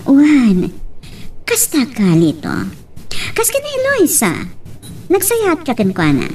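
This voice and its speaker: A woman playing a warm young policewoman and loyal daughter in a radio drama, with an Ilocano Filipino accent. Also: sits high, huge pitch swings, slow, recorded from a distance, heavily acted. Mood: warm, grateful.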